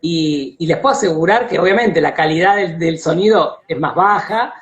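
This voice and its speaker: A man's voice: on the high side, moderate pace. A man with an Argentine accent hosting a live online conversation, speaking earnestly and reflectively. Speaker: interviewer and host. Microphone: online video call.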